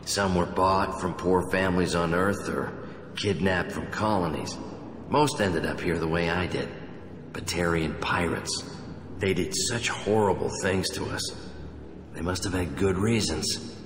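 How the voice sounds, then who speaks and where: A man's voice: deep voice, unhurried. A man playing a haunted, obsessive survivor of childhood experiments in a video game, speaking with brooding intensity. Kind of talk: video game cutscene acting. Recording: echoey hall, faint background noise.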